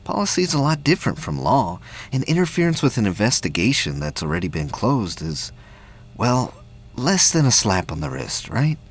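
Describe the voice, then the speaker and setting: A man playing a soft-spoken, reassuring young male crew member in a sci-fi audio play. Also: on the low side, big pitch swings, normal speed, husky, voice pushed up, exaggerated voice. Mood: reassuring, persuasive.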